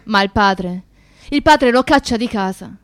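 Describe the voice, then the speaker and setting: An actress as a grave storyteller, narrating a radio drama in a measured, earnest way. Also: female voice, medium pitch, lively intonation, natural voice. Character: grave female storyteller of a Mafia tragedy. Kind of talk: dramatic third-person storytelling in radio theatre.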